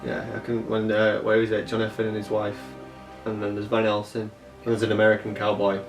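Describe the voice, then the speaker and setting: A man's voice: lowish voice, monotone, brisk. A man chatting casually as a review co-host, rambling and often repeating himself. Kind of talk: casual chat reviewing an audio drama. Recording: echoey room, distant mic, faint music behind. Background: faint music.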